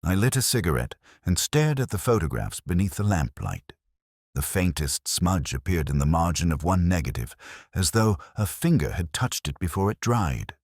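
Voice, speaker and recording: A man plays a brooding, middle-aged police inspector recounting his case in an audio drama. His voice is extremely low, with lively rises and falls within a measured, reflective delivery. He sounds weary and melancholic, recorded dry with no room around him. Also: dry recording, full character voice. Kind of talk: scripted first-person detective narration.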